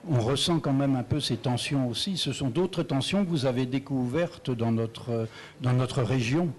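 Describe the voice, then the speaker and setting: A man interviewing a guest on a radio station, asking steady questions with brief context. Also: medium pitch, normal speed, a little hiss or hum.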